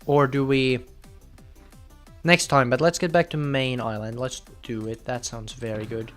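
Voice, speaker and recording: Excitable young man streaming. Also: mid-range voice, unhurried, faint music behind. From Finland. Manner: chatty, bursts into loud excited outbursts. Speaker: game streamer. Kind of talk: casual live gameplay commentary.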